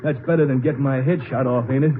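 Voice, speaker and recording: An actor playing a cowardly, defensive army deserter and car thief in a 1950s radio drama, with a whiny manner. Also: male voice, medium pitch, steady tone, fast talker, close to an everyday voice. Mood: defiant, sulky. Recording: noisy, narrow-band old radio recording.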